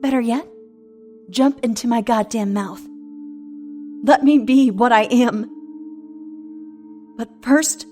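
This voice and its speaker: A woman's voice: a little high, slow pace, pauses often, warm tone. A woman playing a bitter, sarcastic, century-old shapeshifting monster in an audio horror drama, combative and foul-mouthed. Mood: furious. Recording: music under the voice.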